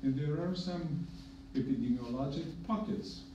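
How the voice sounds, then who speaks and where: A middle-aged man from Greece with a Greek accent, speaking on a conference panel as a hepatologist, measured and emphatic with dry humor. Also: on the high side, warm tone, recorded from a distance. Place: conference room with projector. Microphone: podium mic, filmed from far in the room. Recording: echoey room from afar, dull and noisy.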